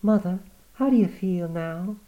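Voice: mid-range voice, unhurried, narrow, tinny audio, pitched above the performer's own voice, lightly acted. A man reading aloud, voicing a worried middle-aged daughter who soothes her dying mother. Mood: anxious. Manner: soothing, anxious.

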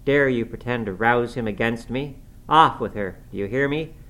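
A man's voice: mid-range voice, animated, moderate pace, a bit bright, lightly acted. A man voicing a cruel, hot-tempered middle-aged master in an audiobook, commanding and threatening. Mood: savage rage. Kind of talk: audiobook character acting. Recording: clearly noisy recording.

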